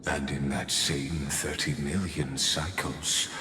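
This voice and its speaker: A man voicing a solemn, grandiose game character who speaks in a measured, ceremonial, theatrical way. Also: extremely low pitch, fairly even intonation, noisy background. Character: solemn, grandiose man delivering an epic toast and narration. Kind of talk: theatrical scripted game voice acting. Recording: echoey long reverb, background music.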